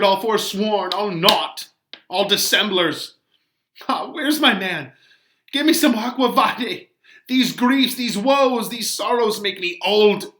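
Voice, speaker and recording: A man's voice: very high-pitched, bright, forceful tone, reverberant, voice pushed up, exaggerated voice. A man voicing a weary, blunt old female nurse, wailing emphatically in a theatrical Shakespeare reading.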